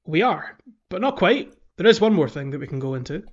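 A man's voice: on the high side, very animated, a little fast, muffled recording. A man hosting a gaming podcast in casual conversation, explaining things in a hesitant, rambling way. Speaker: podcast host.

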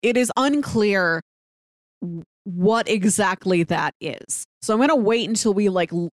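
A woman's voice: medium pitch, unhurried, no room sound, studio quality. A woman co-hosting a casual TV review chat, thinking aloud and restarting her phrases as she goes. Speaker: podcast co-host.

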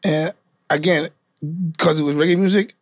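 Male dancer guest, from New York; gravelly, easygoing, dry close sound. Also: a little high, steady tone, normal speed, no room sound. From New York, family from Guyana. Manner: easygoing, loose storytelling with many fillers. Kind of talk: casual interview chat.

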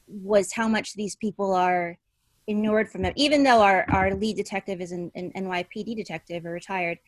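A woman's voice: on the low side, fairly bright tone, no room sound. A woman from Connecticut speaking as a screenwriter guest in an interview, earnest and emphatic in her conversational answers. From Connecticut, United States.

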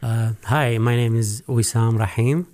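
A man's voice: medium pitch, fairly even intonation, a little slow, darkish tone. A man from Iraq, a restaurant co-owner, answering interview questions hesitantly with many fillers.

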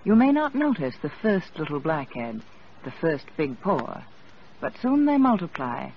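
High-pitched vintage radio ad announcer. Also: male voice, wildly swooping pitch, measured pace, creaky. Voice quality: earnest, persuasive announcer delivery. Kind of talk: vintage radio commercial read. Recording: dull old radio broadcast with hiss.

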